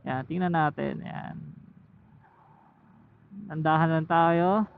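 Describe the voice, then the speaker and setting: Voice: medium pitch, fairly even intonation, creaky. Tinny Filipino motovlogger on a helmet intercom. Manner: unscripted, thinking aloud in short halting phrases. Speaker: motovlogger reviewing camera gear. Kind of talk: casual vlog chat while riding. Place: outdoors, riding a motorcycle. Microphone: motorcycle helmet intercom headset. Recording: tinny helmet intercom mic, road noise.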